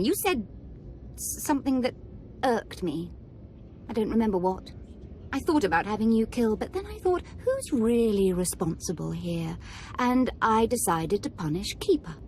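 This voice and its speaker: A woman's voice: mid-range voice, big pitch swings, moderate pace, slight background noise, close to an everyday voice. Woman acting a capricious, swooping young villainess. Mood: cruel, amused. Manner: imperious, playfully menacing. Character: capricious, sadistic young noblewoman of a dark sorcerer order. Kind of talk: video game cutscene acting.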